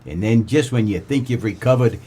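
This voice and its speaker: An American man playing a world-weary, wisecracking 1940s private eye in a comedic noir radio play, with deadpan melodrama. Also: lowish voice, brisk, slightly rough. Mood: brooding, wry. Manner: deadpan, melodramatic tough-guy delivery.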